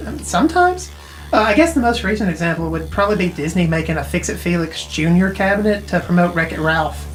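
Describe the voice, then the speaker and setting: A woman's voice: fairly low pitch, expressive, fairly quick, soft background music, lightly acted, low-quality recording. An actress playing a tech-savvy woman who explains things informally and hedges as she goes, in naturalistic audio drama dialogue. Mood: knowledgeable. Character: tech-savvy, casual woman who knows retro arcade games. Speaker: character in a mystery audio drama.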